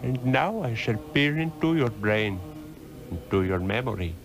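An actor playing an ancient, stern Tibetan sorcerer in a solemn, measured, oracular way in a 1960s radio drama. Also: male voice, mid-range voice, animated. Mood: solemn, accusing. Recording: old radio recording with music.

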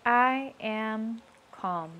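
A woman slowly and calmly leading guided self-affirmations, repeating each line twice. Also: fairly high pitch, dull audio. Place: outdoors on a rainy day.

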